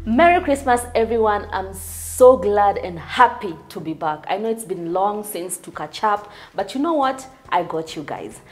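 A woman's voice: medium pitch, expressive, normal speed, hardly any pauses, faint music behind. A young Kenyan woman hosts a studio cooking show. She is bubbly and teasing and slips Swahili phrases into her English. Her voice sits in a medium range and is picked up close on a lapel mic. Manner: bubbly and teasing, laughs often. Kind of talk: playful studio chat mixing in Swahili. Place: bright indoor studio kitchen.

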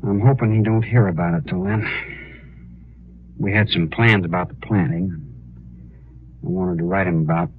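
An actor playing an earnest, humble American prisoner in a 1950s radio western, speaking haltingly. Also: male voice, low-pitched, steady tone, phone-quality sound, natural voice. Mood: resigned, earnest. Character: earnest young condemned prisoner protesting his innocence. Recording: thin, noisy old radio recording.

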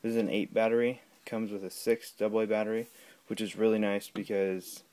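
A man giving a casual, unscripted gadget demonstration at home, talking in a halting, rambling way. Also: on the low side, flat delivery, darkish tone. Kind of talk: casual unscripted product demo. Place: small room at home. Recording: clear background noise with clicks.

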